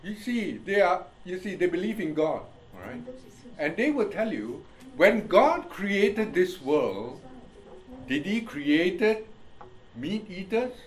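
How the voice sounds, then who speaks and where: A male pastor with an Indian accent, preaching with a high, very animated voice that swoops up and down. He reads verses slowly and keeps asking the congregation whether they follow him. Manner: slow scripture reading, constant "you see?" check-ins, chuckles. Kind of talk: sermon, Bible teaching.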